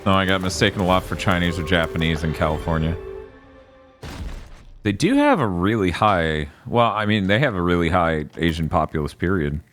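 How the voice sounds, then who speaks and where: A man's voice: deep voice, expressive, normal speed, a bit bright, faint music behind. A man from the United States hosting a game stream, chatting casually and joking, with frequent laughs and swearing. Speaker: streamer host. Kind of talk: casual chat over gameplay.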